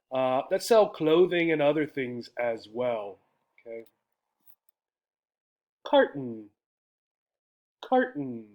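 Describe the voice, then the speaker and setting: A man's voice: medium pitch, muffled recording. A man with an American accent explains vocabulary to English learners in a didactic way, repeating key words and often checking in as he goes. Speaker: English teacher.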